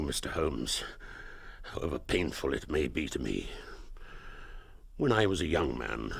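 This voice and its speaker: An actor playing an anguished, proud middle-aged duke confessing shameful secrets, with formal, grave, pleading delivery in a period detective drama. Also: male voice, deep voice, vocal fry, fairly bright tone, husky, heavily acted.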